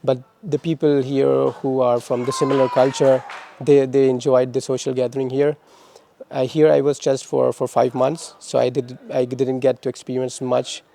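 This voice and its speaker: A young man from Pakistan talks through interview questions in a halting way, often restarting and repeating words. His voice is mellow and dark, and his pitch stays flat and even. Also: vocal fry, slight background noise. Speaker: interviewee, former master's student. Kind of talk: casual interview answers.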